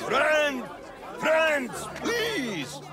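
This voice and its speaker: An actor playing an angry Athenian politician in a game, shouting scornful, theatrical oratory at a crowd. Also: male voice, extremely high pitch, very animated, very slow, full character voice. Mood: scornful, rousing. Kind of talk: declamatory public oratory.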